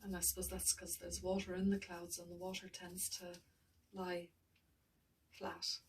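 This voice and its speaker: Softly mumbling woman narrating a painting. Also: on the low side, monotone, measured pace, recorded from a distance, slight background noise. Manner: thinking aloud, mumbling, trailing off. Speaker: art tutor.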